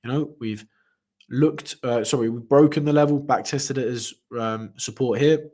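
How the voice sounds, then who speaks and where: Young man, trading stream host, rambling, lots of ums and restarts, mid-pitched voice. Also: normal speed, warm tone. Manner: rambling, many fillers and repeated restarts; speaks to chat viewers directly. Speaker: crypto trading livestream host. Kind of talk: casual live stream commentary.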